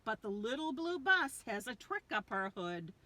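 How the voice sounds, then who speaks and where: A woman's voice: on the high side, very animated, normal speed, bright, forceful tone. An older woman reading a picture book aloud to young children, drawing out key words with dramatic emphasis.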